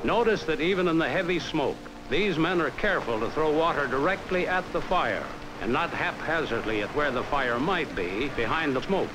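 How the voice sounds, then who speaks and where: An American man reading a scripted 1950s training film narration in a measured, instructive, carefully enunciated way, on a vintage film soundtrack. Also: a little high, expressive, poor audio. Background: background music.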